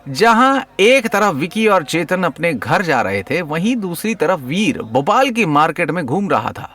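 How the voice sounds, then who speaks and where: Animated high-pitched male story narrator. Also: measured pace. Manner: playful, teasing storyteller. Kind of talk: dramatic comic audio story narration.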